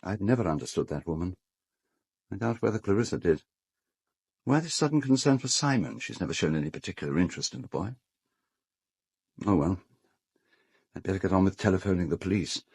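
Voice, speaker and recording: An actor playing a fussy, courteous middle-aged English gentleman in a radio drama, speaking formally and hesitantly. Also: low-pitched, animated, a little fast, vocal fry, pauses often, husky, exaggerated voice. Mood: shaken, grave. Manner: hesitant, formal, well-spoken. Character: fussy, courteous gentleman host of an island castle. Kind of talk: British radio drama acting.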